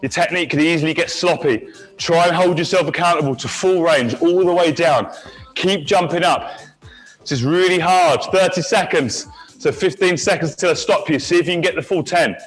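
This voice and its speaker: Higher-pitched man coaching a workout over music. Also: normal speed, rough recording. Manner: encouraging, counting down, barking short cues. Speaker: fitness instructor. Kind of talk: leading a live HIIT workout class. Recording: music playing behind the voice.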